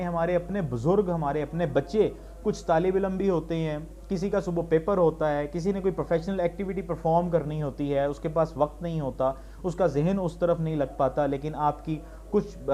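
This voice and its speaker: A man with a Pakistani accent speaks to his viewers in an earnest, explanatory monologue. His voice sits somewhat high for a man, with a dark, relaxed tone. He reasons through his points and drops English words into his speech. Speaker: vlogger. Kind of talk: earnest opinion monologue to camera.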